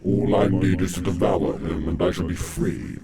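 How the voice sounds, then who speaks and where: A man voicing a huge evil monster with a slow rumbling growl. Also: fairly even intonation, a loud crowd, natural voice. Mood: menacing.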